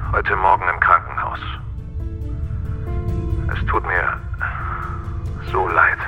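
A man's voice: low voice, fairly even intonation, faint music behind. Muffled, hesitant male caller over transmission. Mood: somber. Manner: halting, apologetic. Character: hesitant, apologetic male caller from mission control. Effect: video-call transmission filter. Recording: muffled, band-limited radio transmission.

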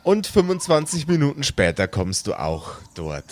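A man's voice: medium pitch, huge pitch swings, normal speed. A man narrating an improvised tabletop role-playing game as game master, with playful, theatrical phrasing.

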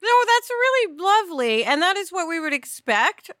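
A woman with a very high, lively voice hosts a casual discussion. She is emphatic and expressive, often doubling back on a phrase for emphasis, and the sound is close and dry. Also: moderate pace, no room sound. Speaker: podcast host.

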